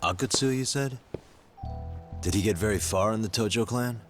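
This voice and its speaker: An actor voicing a level-headed private detective asking questions in a video game. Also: male voice, fairly low pitch, moderate pace, natural voice. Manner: calm, inquisitive. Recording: music playing behind. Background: music.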